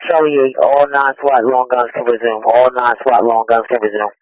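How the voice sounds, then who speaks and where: A man's voice: medium pitch, flat delivery, normal speed, dry recording. A man relaying terse, repeated calls and questions over police radio. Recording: dull lo-fi police scanner radio.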